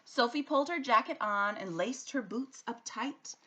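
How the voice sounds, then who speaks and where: A woman reading a rhyming children's story aloud like a teacher, with an expressive, sing-song rhythm. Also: fairly high pitch, moderate pace, a little hiss or hum. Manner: animated, sing-song rhythm following the rhyme.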